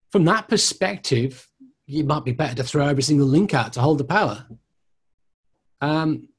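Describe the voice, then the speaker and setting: Male tutor, middle-aged, slightly high and husky voice, informal question-and-answer teaching. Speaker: mediumship tutor.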